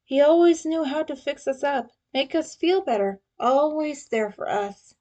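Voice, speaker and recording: A woman voicing a wounded young male turtle in a story, teasing and sentimental, with an emotional, halting delivery. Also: high voice, expressive, warm tone, pitched above the performer's own voice. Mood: tender, strained.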